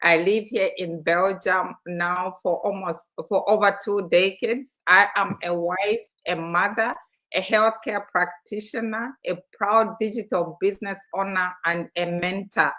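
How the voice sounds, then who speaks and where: A woman's voice: medium pitch, fairly even intonation, unhurried, pushed and bright, poor audio. Persuasive woman from Zambia co-hosting. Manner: enthusiastic, persuasive, many fillers. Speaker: co-host. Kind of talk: live-streamed motivational talk show. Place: studio.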